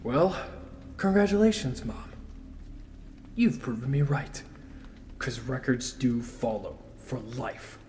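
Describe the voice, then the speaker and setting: A man playing a wounded, bitter son confronting his mother in an audio drama, accusing and emphatic in a halting way. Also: medium pitch, darkish tone, natural voice. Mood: bitter, resentful. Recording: faint background noise.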